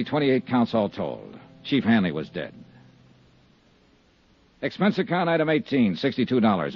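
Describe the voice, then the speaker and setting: An actor playing a tough, terse, wisecracking private investigator, narrating and acting in dry 1950s radio drama style. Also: male voice, medium pitch, breathy edge. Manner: terse, dry, deadpan delivery. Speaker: lead actor and narrator of detective serial. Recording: dull vintage 1950s radio recording with music.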